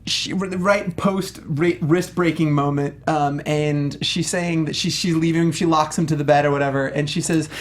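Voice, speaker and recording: A man's voice: on the high side, steady tone, normal speed, hardly any pauses. A man co-hosting a casual discussion show, talking enthusiastically and emphatically, often saying "like". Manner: enthusiastic, emphatic, heavy use of "like". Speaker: panel show co-host. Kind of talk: casual film and game discussion.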